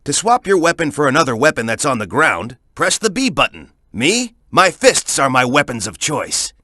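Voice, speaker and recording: A man's voice: medium pitch, big pitch swings, moderate pace, sharp tone, dry recording, clean studio sound. A man voicing a tough, cocky young male echidna fighter in a video game, with a punchy, emphatic, coaching delivery. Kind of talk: video game character voice lines.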